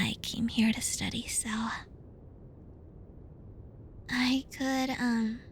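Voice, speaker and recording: Bratty tsundere girl student for ASMR roleplay woman performer: high, soft and breathy, unhurried, pouty, defensive, tripping over words. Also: slight background noise. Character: bratty tsundere young female student, flustered, teasing and bossy. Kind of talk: ASMR roleplay addressed to the listener.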